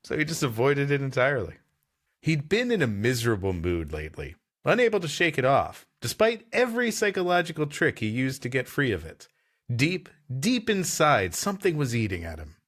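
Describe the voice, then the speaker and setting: Playful, animated male podcast host. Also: mid-range voice, brisk. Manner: playful, expressive reading voice. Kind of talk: comedy podcast banter and story reading.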